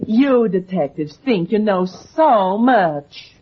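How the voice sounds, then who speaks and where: A woman's voice: mid-range voice, expressive, takes it slowly, slightly rough. An actress playing a coy, sugary, evasive Southern woman with an American Southern accent in a vintage radio drama. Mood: defensive. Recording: muffled vintage radio recording.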